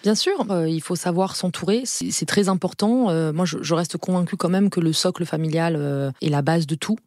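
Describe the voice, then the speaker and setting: A woman in her forties speaks candidly as a guest on a podcast, her voice pitched somewhat low. She hesitates and restarts often but stays earnest. The sound is close and dry. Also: a little fast, a little mellow, no room sound. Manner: earnest, frank, repeats herself and hesitates with many fillers. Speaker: lawyer and law firm partner.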